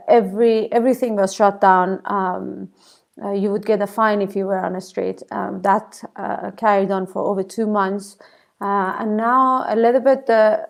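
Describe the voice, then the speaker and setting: A young Iranian woman speaks English with a clear Iranian accent, her voice carrying a gravelly, fry-tinged edge. She talks in a measured way, often pausing on soft ums as she answers a podcast host over a remote call. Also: mid-range voice. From Iran. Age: young adult. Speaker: podcast guest. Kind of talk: casual interview answers. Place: home in South Africa, remote call.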